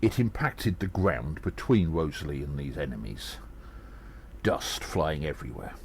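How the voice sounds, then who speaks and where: A man playing a small, agile adventurer who recounts battles in an audio drama, earnest and dramatic, with halting pauses. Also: very deep voice, very animated, a little hiss or hum, exaggerated voice. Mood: gripped, suspenseful. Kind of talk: first-person audio drama narration.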